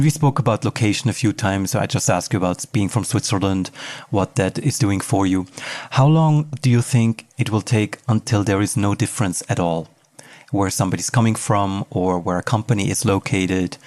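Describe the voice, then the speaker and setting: A man's voice: fairly low pitch, moderate pace, a little mellow, no room sound. A man speaking conversationally as the host of an interview podcast.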